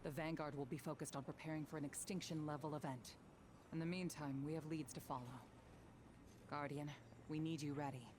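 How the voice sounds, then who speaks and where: An actress playing a stern, composed female commander in a video game, measured and authoritative in a briefing. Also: sits low, fairly even intonation, background noise, natural voice. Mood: resolute. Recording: music behind the voice.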